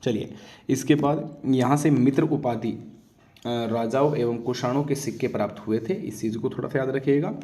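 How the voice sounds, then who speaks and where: A man's voice: medium pitch, normal speed, warm tone. A man lecturing as an exam-coaching teacher, going point by point in an instructive, familiar way with frequent check-in tags.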